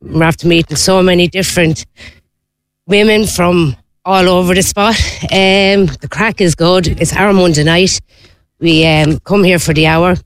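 A woman's voice: on the low side, steady tone, unhurried, no echo. A woman with an Irish accent, a mother who plays on the team, talking with chatty enthusiasm. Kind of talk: casual interview.

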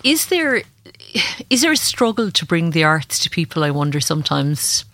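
An interviewer asking a guest curious, gentle questions. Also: medium pitch, sing-song, normal speed, no echo. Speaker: radio interviewer, host. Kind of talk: conversational radio interview.

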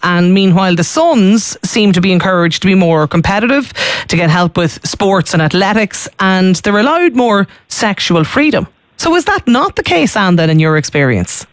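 A woman's voice: medium pitch, lively intonation, moderate pace, dry recording. A woman hosting a live radio talk show, chatty and conversational, speaking into a studio mic.

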